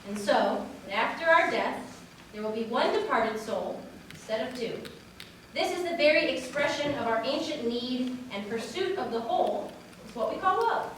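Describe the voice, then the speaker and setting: A woman's voice: high-pitched, lively intonation, moderate pace, a bit bright. A woman giving a formal literary recitation as a wedding reader. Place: large echoey room at a wedding. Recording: echoey hall, distant mic, faint noise.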